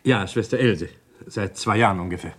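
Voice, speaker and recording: An actor playing an agitated, defensive doctor in a radio play, pleading and halting as a police suspect. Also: male voice, lowish voice, natural voice. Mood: rattled, subdued. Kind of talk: German radio play acting.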